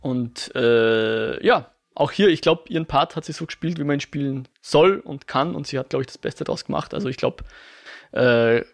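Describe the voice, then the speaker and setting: A man's voice: mid-range voice, normal speed. A man co-hosting a casual film discussion podcast, thinking aloud with many restarts and self-corrections.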